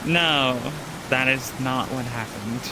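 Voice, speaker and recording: A man acts out a guarded occultist in an online fantasy roleplay. His voice is medium in pitch, his answers terse and evasive. Steady, noticeable hiss sits behind him. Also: slow pace, natural voice. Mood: defensive. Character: guarded male occultist hiding a dangerous secret. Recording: clearly hissy live stream microphone.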